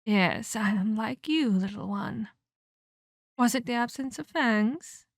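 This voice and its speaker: A woman voicing an ancient female vampire in an ASMR roleplay, a measured, patronizing mentor who scolds and teases with veiled menace. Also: fairly high pitch, lively intonation, no room sound, clean studio sound, exaggerated voice. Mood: wistful, ominous. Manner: condescending, teasing, measured, addresses listener as child.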